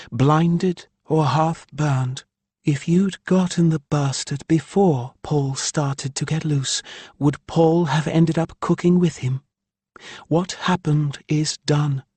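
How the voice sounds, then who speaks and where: A man's voice: fairly high pitch, a little mellow, husky, no echo, pitched above the performer's own voice. A man voicing a fierce, blunt, no-nonsense woman who snaps out her words in an audiobook. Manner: snapping, emphatic, drawn-out.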